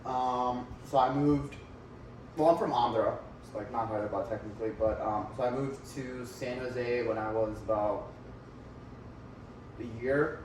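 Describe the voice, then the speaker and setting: A man's voice: medium pitch, steady tone, unhurried, noisy background. A man in his twenties from India, chatting casually on a podcast with a teasing manner and many filler sounds. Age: 20s. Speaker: podcast co-host. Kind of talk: casual chat. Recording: roomy echo, distant mic.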